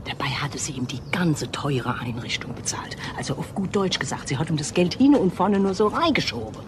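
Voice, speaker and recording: An actress playing a gossipy housekeeper in a crime radio play, confiding and indignant, dropping into whispers. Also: female voice, low voice, very animated, a little fast. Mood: indignant gossip. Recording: noisy radio play recording.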